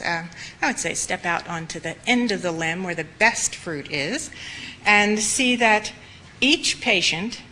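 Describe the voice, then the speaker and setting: A slightly hoarse woman giving an earnest, reflective spoken lecture to medical students in a lecture room. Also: medium pitch, sharp tone, poor audio. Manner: earnest, reflective, with emphatic repetition.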